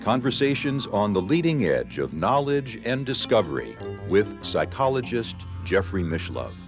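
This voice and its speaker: A man announces a television show in a scripted voice-over, his lowish voice sweeping widely up and down. Loud theme music plays beneath him throughout. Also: very animated, normal speed.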